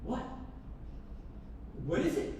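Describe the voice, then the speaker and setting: A man's voice: takes it slowly, mellow, natural voice. A man acting as an anxious, troubled character in a staged reading, tense, hesitant and emotional. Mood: anxious, lost. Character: Mason, anxious, troubled man resisting inner voices. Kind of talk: live dramatic staged reading. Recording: very echoey room, distant mic, rumble.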